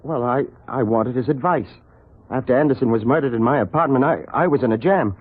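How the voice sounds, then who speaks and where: An actor in a 1940s radio drama playing a flustered accused shopkeeper, hesitant and stammering, on a muffled old recording. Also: male voice, mid-range voice, a little fast, natural voice. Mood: anxious, flustered. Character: flustered accused shopkeeper on trial, anxious to explain.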